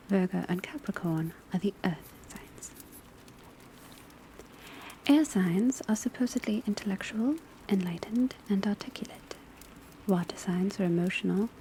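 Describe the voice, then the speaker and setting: A woman in a scripted ASMR classroom roleplay, speaking in a soft, breathy whisper as a measured, precise witch-school teacher. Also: mid-range voice. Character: calm female witch-school divination professor. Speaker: ASMR performer playing a divination class teacher. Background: crackling fireplace, rain on rooftop, pencil writing.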